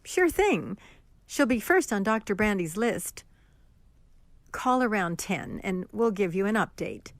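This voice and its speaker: A woman playing a brisk, authoritative asylum nurse in an audio horror drama. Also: medium pitch, big pitch swings. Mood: calm authority.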